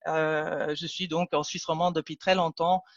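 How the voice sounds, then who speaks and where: A woman's voice: sits low, level tone, moderate pace, no echo. A woman from Ticino, Switzerland, whose native language is Italian, leads an online training webinar with many fillers and restarts. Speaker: trainer and consultant. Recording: dull lo-fi dry call audio.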